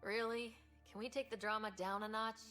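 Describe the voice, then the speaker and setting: An actress playing a young human space explorer in a video game, direct and earnest with a wry streak. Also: female voice, on the high side, little pitch movement, moderate pace, a bit bright, soft background music, lightly acted. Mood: wry, exasperated. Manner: direct, plain-spoken, persuasive. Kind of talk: video game cutscene acting.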